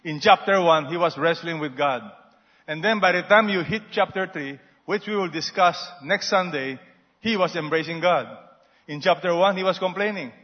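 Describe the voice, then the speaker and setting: Emphatic pastor preaching in a hall. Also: male voice, a little high, moderate pace. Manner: emphatic, exhorting. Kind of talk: sermon. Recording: dull sound in a reverberant hall.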